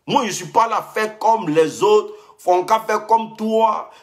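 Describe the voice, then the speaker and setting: A man's voice: high voice, measured pace. A young man, ranting heatedly on a live stream and repeating himself as he answers a rival. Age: young adult. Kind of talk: casual live-stream rant.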